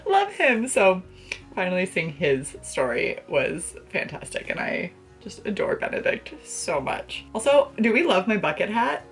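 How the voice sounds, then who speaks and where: A woman's voice: mid-range voice, very animated, a little slow, soft background music. A young adult woman chatting casually to camera about books in a gushing, playful, slangy way, recorded in a bedroom. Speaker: book vlogger. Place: small bedroom, indoors.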